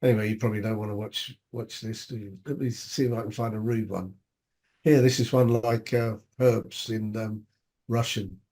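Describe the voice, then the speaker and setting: A man's voice: on the low side, steady tone, normal speed, warm tone. Muttering man thinking aloud on a call. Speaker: meetup attendee. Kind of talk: casual video call chat. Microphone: video call.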